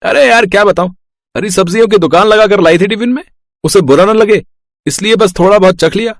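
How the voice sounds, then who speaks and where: A man's voice: high-pitched, brisk, no room sound, studio quality. A man playing a polite, evasive young college boyfriend in a moral story. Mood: casual, frank. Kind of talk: animated story dialogue.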